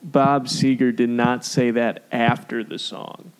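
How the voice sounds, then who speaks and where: A man's voice: mid-range voice, level tone, takes it slowly, smooth. A man co-hosting a casual podcast chat. He is losing his voice, laughs often, and reads review quotes aloud. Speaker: podcast co-host.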